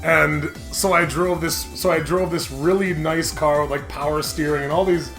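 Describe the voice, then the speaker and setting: A young man hosting a gaming show, chatting casually and telling animated, jokey stories with frequent laughter. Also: on the high side, normal speed, soft background music. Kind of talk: casual let's-play commentary with dramatic read-alouds.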